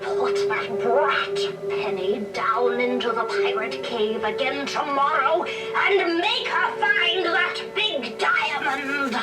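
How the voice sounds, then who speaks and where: A woman's voice: high-pitched, slow, few pauses. An actress playing a bossy, dramatic cartoon lady with an emphatic, commanding delivery on a storybook record. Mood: snarling, impatient. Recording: distant vinyl record played in room, music.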